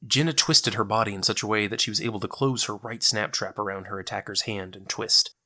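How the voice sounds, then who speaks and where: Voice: mid-range voice, fairly quick, pushed and bright. A narrator reading a scripted action story in a dramatic style.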